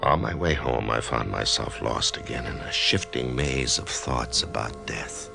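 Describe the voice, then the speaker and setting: An actor in a radio drama playing a nervous office worker who is facing a risky operation, who stammers and breaks into shouts. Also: male voice, extremely low pitch, a little slow, creaky, music in the background, full character voice. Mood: brooding. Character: anxious male office worker facing surgery. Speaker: actor playing the lead and narrator.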